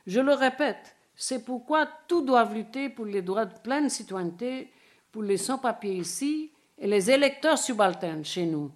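A woman's voice: fairly high pitch, animated, a little slow. Older woman lecturing formally. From India. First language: Bengali. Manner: dense formal reading broken by hesitant asides in English. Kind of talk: academic lecture read from a prepared text.

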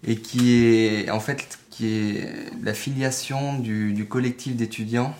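A man's voice: medium pitch, fairly even intonation, slow pace, darkish tone, a little hiss or hum. A man in his twenties, a student, speaking hesitantly in an interview and repeating words. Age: 20s.

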